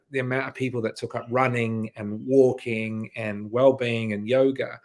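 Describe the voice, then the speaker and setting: A man speaking as a podcast guest and leadership coach in a casual conversation, earnest and emphatic, often restarting his sentences. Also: mid-range voice.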